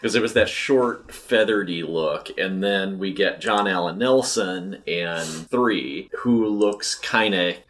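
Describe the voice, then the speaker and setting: A man's voice: low voice, takes it slowly, hardly any pauses, a bit bright. A man co-hosting a podcast, giving casual, opinionated film commentary in a wry, argumentative way. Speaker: podcast co-host.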